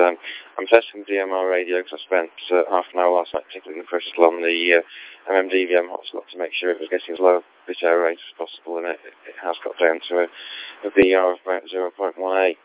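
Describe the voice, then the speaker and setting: Low flat voice over a tinny radio. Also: male voice. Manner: technical, rambling with many fillers. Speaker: amateur radio operator. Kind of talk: casual radio chat. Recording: tinny radio link with faint noise.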